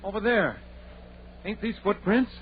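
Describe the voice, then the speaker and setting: An actor playing a timid, superstitious country sidekick in old-time radio horror, with a folksy, hesitant way of talking. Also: male voice, sits high, unhurried. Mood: puzzled, excited. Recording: tinny, noisy vintage radio recording.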